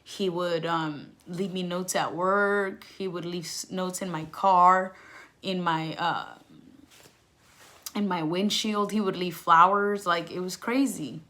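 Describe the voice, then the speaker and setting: A woman's voice: lowish voice, steady tone, slow pace, sharp tone. A young woman vlogger telling a personal story in casual, candid, slangy speech with swearing, acting out past conversations as she goes.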